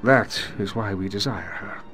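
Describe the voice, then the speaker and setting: A sly Russian KGB agent. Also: male voice, on the low side, a little slow, fairly bright tone, faint music behind. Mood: conspiratorial. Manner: formal, poetic, conspiratorial. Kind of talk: video game cutscene acting.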